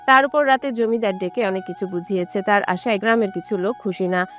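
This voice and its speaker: A woman's voice: medium pitch, fairly quick, a bit bright, faint music behind. A woman reading a scripted romantic story in a dramatic narrating style.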